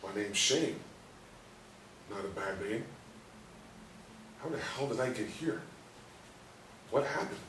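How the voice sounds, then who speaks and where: Man acting a slow, frightened man, roomy sound. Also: on the low side, expressive. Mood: subdued. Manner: halting, anguished self-talk in short bursts. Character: frightened, confused man trapped in his paralysed body, sweary. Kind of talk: staged play reading, inner monologue. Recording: roomy, distant mic, faint noise.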